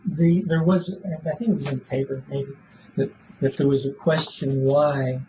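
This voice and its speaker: Through a dull, clearly noisy recording, a man in his seventies answers interview questions. His voice sits at a middling pitch, and he rambles haltingly, repeating words and leaving thoughts unfinished. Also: fairly even intonation. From born in Belfast, Northern Ireland; raised in Illinois from age seven. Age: 70s. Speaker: interviewee in a police polygraph interview. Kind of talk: casual, rambling spoken answers. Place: small police interview room.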